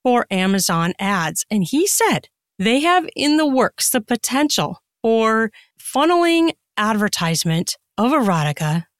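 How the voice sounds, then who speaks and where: A woman's voice: fairly high pitch, big pitch swings, unhurried, no echo, studio quality. A woman chatting casually and emphatically as a guest in a podcast interview.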